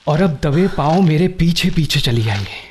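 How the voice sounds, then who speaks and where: A man's voice: on the high side, expressive, a little slow, a little mellow, a little hiss or hum. An actor in a Hindi radio play playing a sly young man who goads and insists theatrically. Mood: hushed, urgent. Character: sly, goading young man exposing a rival's secret.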